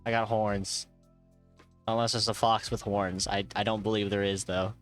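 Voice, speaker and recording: A man's voice: on the low side, level tone, moderate pace, a bit bright. A young man of Thai heritage chatting casually on a live stream, reading chat aloud, swearing and laughing often. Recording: faint music behind.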